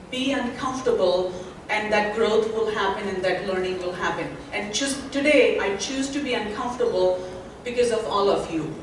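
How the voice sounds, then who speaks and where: A woman's voice: medium pitch, slight background noise. A middle-aged woman with an Indian accent, speaking earnestly as a panelist at a symposium. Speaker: panelist, associate dean. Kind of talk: panel talk to a symposium audience. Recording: echoey hall from far off.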